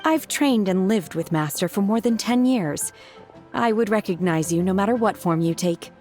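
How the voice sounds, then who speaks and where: A woman voicing a calm, blunt young waitress. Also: mid-range voice, moderate pace, natural voice. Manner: plain, matter-of-fact, polite. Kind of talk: video game voice acting. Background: game music.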